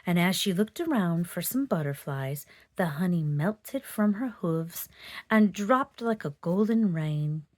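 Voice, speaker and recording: Animated woman reading a storybook. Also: lowish voice, measured pace, no echo, studio quality. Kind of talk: expressive children's storybook read-aloud.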